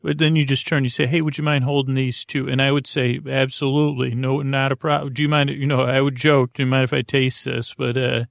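A man's voice: flat delivery, a little fast, vocal fry, few pauses, dry recording, studio quality. A man hosting a sleep podcast, rambling through a meandering intro with plenty of fillers and restarts. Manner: low-key, drowsy delivery. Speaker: podcast host.

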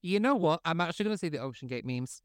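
A sassy, campy man co-hosting a podcast, whose first language is Spanish, throwing shade with glee. His voice is smooth and clear at a middle pitch. The recording is dry and close. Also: animated, racing, no echo. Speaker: podcast co-host. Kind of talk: casual chat.

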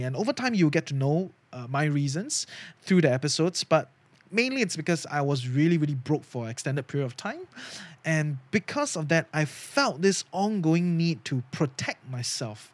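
Earnest guy doing a solo podcast, kinda high voice, dry close mic, lots of "right?". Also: dry recording. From Singapore. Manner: earnest, frequent "you know" and "right?" tags. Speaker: podcast host. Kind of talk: casual solo podcast talk.

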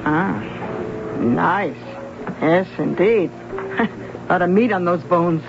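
An actor playing a worldly, teasing bar keeper with a folksy, drawn-out manner in a radio drama. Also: male voice, high-pitched, vocal fry, heavily acted. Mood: teasing, knowing. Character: wry, worldly bar keeper nicknamed Moms. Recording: dull lo-fi radio recording, music behind.

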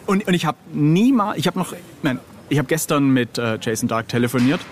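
Middle-aged male guest, fairly high animated voice, chatty and self-deprecating, some background noise. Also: fairly quick. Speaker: interview guest, illustrator. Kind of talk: casual anecdotal interview chat.

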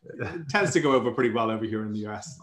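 A man's voice: fairly low pitch, lively intonation, quick pace. A man with a Welsh accent, a startup founder speaking as an interview guest, upbeat and fluent as he explains his business. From Wales. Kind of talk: casual interview chat. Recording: roomy echo.

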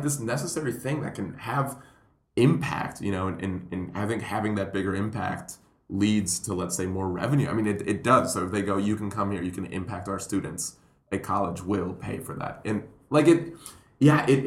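A man from Puerto Rico, a comedian telling stories in a rambling, animated way on a podcast. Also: fairly low pitch, normal speed. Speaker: comedian and guest. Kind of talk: casual podcast chat. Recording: roomy echo.

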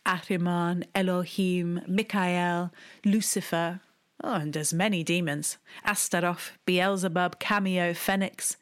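A woman, telling a personal memoir in a wry, deliberate way, with hushed asides. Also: lowish voice, steady tone, clean tone. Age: 30s. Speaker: storyteller. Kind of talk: memoir storytelling.

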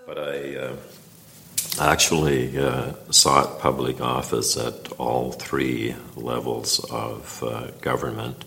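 A very deep, gravelly older man from Nova Scotia recalls his years in office at a slow, unhurried pace. He talks in a halting way, full of fillers and restarts. Music plays beneath his voice. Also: level tone, bright, forceful tone. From Nova Scotia, Canada. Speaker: former provincial legislator. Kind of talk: interview storytelling.

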